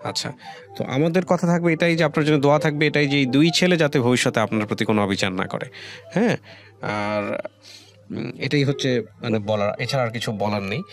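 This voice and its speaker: A Bangladeshi man hosting a conversational life-story radio interview, speaking in a reflective, deliberate way with some English words mixed in. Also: medium pitch, crowd noise. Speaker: radio show host. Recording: music under the voice. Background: background music.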